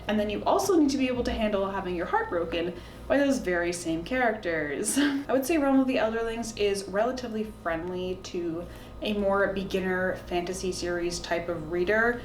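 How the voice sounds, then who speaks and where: A woman talking casually and informally to camera, giving opinionated recommendations in a chatty way. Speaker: book reviewer, video host. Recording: roomy echo, faint background noise and rumble.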